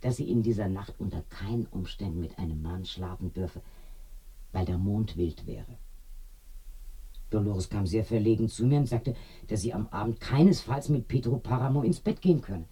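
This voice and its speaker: An actress playing a talkative older village woman who reminisces and confides in a German radio play, digressing as she tells her tales with a husky voice. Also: a bass voice, moderate pace, warm tone, full character voice. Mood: chatty, confiding. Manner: garrulous, digressing storyteller.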